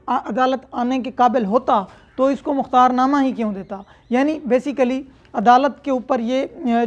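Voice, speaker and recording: A man's voice: very high voice, darkish tone. A man with a Pakistani accent giving a law lecture, explaining step by step in a didactic manner with English legal terms mixed in. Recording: dull, lo-fi lecture audio.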